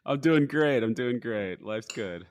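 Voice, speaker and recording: A man who is a hedge fund manager, guest on a podcast, talking in a relaxed, casual way with frequent fillers and easy laughs. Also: mid-range voice, unhurried, no echo. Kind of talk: casual market chat.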